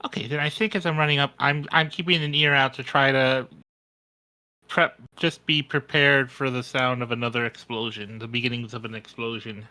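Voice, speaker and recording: A man's voice: mid-range voice, little pitch movement, normal speed, bright, forceful tone, rough recording. A man playing a tabletop roleplaying game, talking casually and hesitantly as he thinks aloud.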